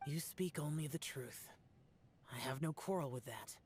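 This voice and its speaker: A man's voice: a little high, normal speed, darkish tone, husky, no echo. A man voicing an aloof, solemn young male warrior who talks terse and formal.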